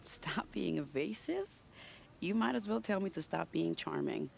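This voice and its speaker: A woman playing a sly, secretive, charming spy in a video game, teasing in a silky, unhurried way. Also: on the low side, animated, gravelly, a little mellow, a little hiss or hum, exaggerated voice. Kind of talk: video game cutscene acting.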